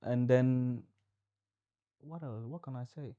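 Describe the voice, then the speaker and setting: A man's voice: medium pitch, takes it slowly, warm tone, no room sound, muffled recording. A young man from Ghana with a Ghanaian accent, co-hosting a podcast in casual conversation and often repeating short phrases. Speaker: podcast co-host.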